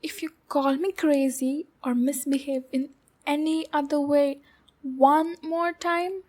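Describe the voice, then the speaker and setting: A woman's voice: high-pitched, steady tone, slow pace, darkish tone. A woman voicing a clingy, possessive yandere demon girl in an intimate roleplay ASMR monologue, cooing with sweet menace. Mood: teasing, threatening.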